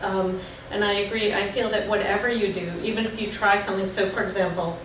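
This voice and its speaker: A woman's voice: medium pitch, steady tone, a little fast, a bit bright. A woman designer on a panel who speaks hesitantly and restarts her sentences. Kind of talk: casual panel discussion. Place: lecture room. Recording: echoey room, distant mic, background noise.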